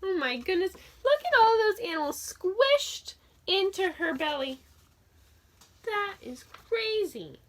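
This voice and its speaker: Woman, children's read-aloud teacher, high voice, slow unhurried pace, expressive, question-led. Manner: animated, expressive storytelling; simple, encouraging questions addressed to children.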